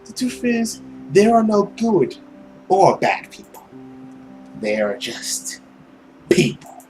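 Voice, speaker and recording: A woman voicing a suave male villain who preys on people, with a theatrical, condescending manner and drawn-out words. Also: mid-range voice, very animated, a little mellow, faint music behind, pitched above the performer's own voice, low-quality recording. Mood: cool philosophizing.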